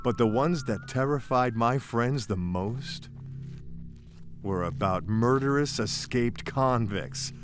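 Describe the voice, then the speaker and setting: A man's voice: medium pitch, lively intonation, takes it slowly. A man narrating a scripted first-person story in a theatrical, folksy, playful manner. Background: music bed.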